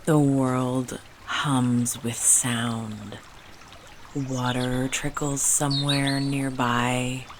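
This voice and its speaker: A woman leading a soothing guided meditation in a hushed, gentle way with deliberate pauses and soft phrase endings. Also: a bass voice, flat delivery, background noise.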